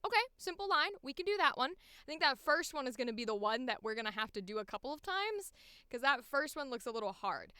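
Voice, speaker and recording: A woman voice actor chatting casually on a livestream, thinking aloud in an informal, animated way, close to the microphone. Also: sits high, fast, clean tone, no room sound. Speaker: voice actor recording auditions.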